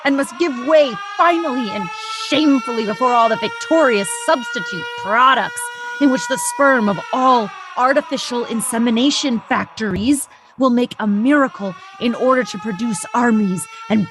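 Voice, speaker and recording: A woman's voice: fairly high pitch, moderate pace, hardly any pauses, over music, lightly acted. A woman performing a grave, sardonic narrator in a theatrical recitation for an avant-garde radio play. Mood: sardonic declaiming. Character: grave, sardonic narrator denouncing American war-making.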